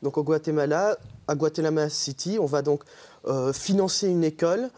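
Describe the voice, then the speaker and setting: A man's voice: a little high, moderate pace, a little mellow. A young man with a Belgian French accent, earnestly explaining as a guest in a TV interview. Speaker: student group member, guest. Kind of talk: TV interview answers.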